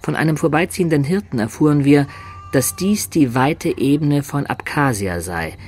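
An actor playing an adventurer who recounts his journey in a radio drama, in measured literary narration. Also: a little high, lively intonation, darkish tone. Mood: ominous, measured. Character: male adventurer recounting his long journey, composed storyteller. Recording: faint music under the narration.

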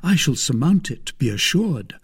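A man voicing an ardent, gallant young gentleman soldier in a storybook reading, fervent, impulsive and confident. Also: fairly high pitch, sing-song, moderate pace, slightly rough, voice pushed up, full character voice.

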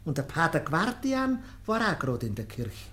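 An actor playing an envious, gossiping lay brother in a radio play, needling and insinuating with a Bavarian accent. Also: male voice, a little high, wildly swooping pitch, normal speed, pushed and bright, heavily acted. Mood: envious, scornful. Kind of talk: radio play dialect acting.

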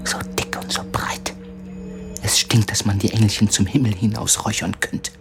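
An actor playing a feverish, haunted, jealous poor man in a German radio play, speaking haltingly and often sinking to a mutter. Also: lowish voice, little pitch movement, gravelly, whispered, faint music behind. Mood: jealous, brooding.

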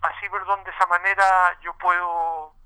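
A man's voice: high-pitched, little pitch movement, moderate pace, pushed and bright, clear, resonant tone, rough recording. A middle-aged man with a Chilean accent, a musician answering casually in a radio interview, often searching for his words. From Santiago, Chile.

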